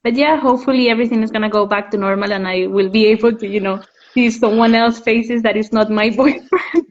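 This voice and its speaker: A woman's voice: fairly high pitch, fairly even intonation, a little fast. Young woman, dull lo-fi audio. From Spain. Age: young adult. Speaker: interview guest, alumna. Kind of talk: casual chat. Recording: dull lo-fi internet call audio.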